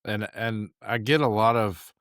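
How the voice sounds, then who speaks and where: A man's voice: lowish voice, steady tone, a little slow, fairly bright tone, smooth, clean studio sound. A man hosting a podcast, chatty and affirming, often echoing his guest's words. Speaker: podcast host. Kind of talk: casual interview talk.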